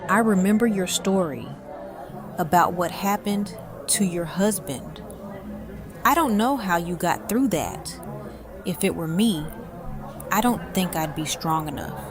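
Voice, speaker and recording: An actress playing a guarded, thoughtful, reserved woman with a measured manner in an audio drama. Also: lowish voice, animated, over music. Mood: sympathetic. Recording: crowd chatter behind.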